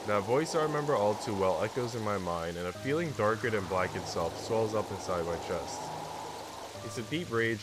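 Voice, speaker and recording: A man's voice: fairly low pitch, heavy background noise. A man with a congested, sick voice livestreaming a visual novel, switching between reading narration aloud and slangy, rambling casual chat. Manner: informal, slangy, rambling asides. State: congested with a cold. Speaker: live streamer playing a visual novel.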